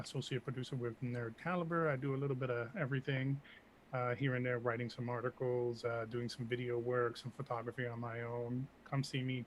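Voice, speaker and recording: A man, an associate producer, chatting in a laid-back, joking way on a quiet mic set too far away. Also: mid-range voice, a little hiss or hum. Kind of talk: casual chat.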